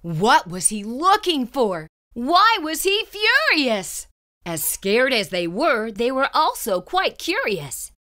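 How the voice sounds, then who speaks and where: Female children's storyteller, higher-pitched and very animated, dramatic suspenseful delivery. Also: slow. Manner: suspenseful, theatrical, emphatic. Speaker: storyteller reading a children's book aloud. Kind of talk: dramatic read-aloud narration.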